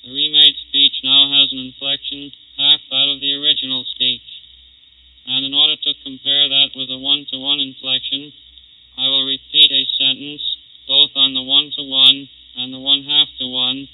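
A man giving a formal, measured technical demonstration in 1930s style, his speech turned synthetic and robotic by a vocoder. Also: medium pitch, monotone, smooth. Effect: synthetic robotic vocoder processing. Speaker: laboratory demonstrator. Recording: muffled, phone-like 1939 test disc recording.

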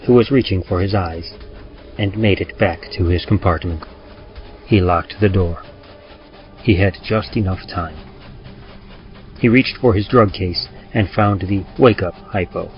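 A man reading a scripted horror story in a dramatic, old-time radio style. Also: low-pitched. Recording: muffled recording with music. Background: music bed.

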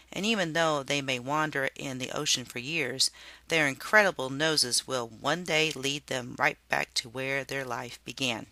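Someone voicing an exasperated, pompous father worm, bright and forceful. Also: medium pitch, no echo. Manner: pompous, lecturing, folksy asides. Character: exasperated, pompous father earthworm storytelling to his son.

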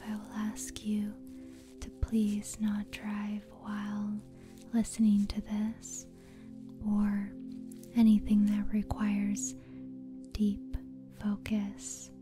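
ASMR host, female: hushed breathy whisper, very flat and soothing delivery, light music underneath. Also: medium pitch. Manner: slow, soothing, deliberate. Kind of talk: whispered soft-spoken ASMR, guided sleep meditation.

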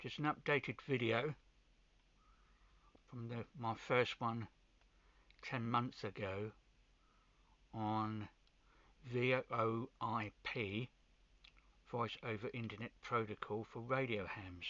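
A man giving an informal hands-on video demonstration, pausing between short phrases, with muffled sound. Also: medium pitch, steady tone, a bit bright, no echo. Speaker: amateur radio hobbyist presenter.

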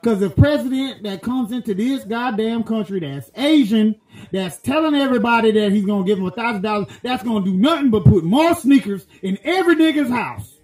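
Man playing a crude loudmouth country guy, rambling profane rant, very high voice, echoey room. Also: animated, fairly quick. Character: crude loudmouth rural American man voter.

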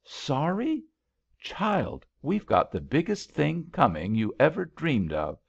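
Man acting out an impetuous young lover, very animated. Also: a little high, takes it slowly, gravelly, slightly rough, dull audio, voice pushed up, exaggerated voice. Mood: excited, proud. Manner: fervent, emphatic declarations.

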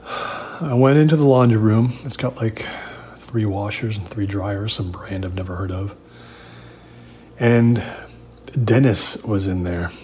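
A man performing a first-person horror story like a spoken diary, in a halting, uneasy, confiding way. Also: fairly low pitch, darkish tone. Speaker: narrator of a horror audio drama. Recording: clear background noise.

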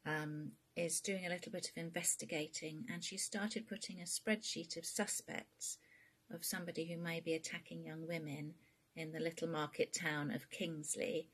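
A woman reads fiction aloud as its author. Her voice sits a little low and has a noticeably husky, breath-worn grain. She narrates as a storyteller introducing and reading her own work. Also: little pitch movement, moderate pace.

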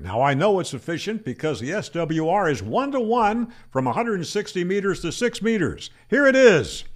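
An older man, a ham radio educator, explains antenna myths to camera in a matter-of-fact, debunking tone, asking himself rhetorical questions, speaking into a boom-arm microphone in a small room. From Florida. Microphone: studio microphone on boom arm.

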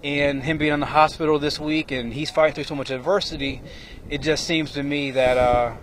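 Man giving measured analysis at a stadium. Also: on the high side, steady tone. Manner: measured, reflective. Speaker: former player, sports analyst. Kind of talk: live TV analysis. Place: outdoor football stadium at night. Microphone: handheld mic. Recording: faint stadium noise outdoors.

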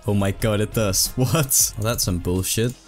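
Low-voiced sweary male gamer. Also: moderate pace, soft background music. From Denmark. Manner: sweary, exasperated gamer talk. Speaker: gaming video host. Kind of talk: casual gameplay commentary.

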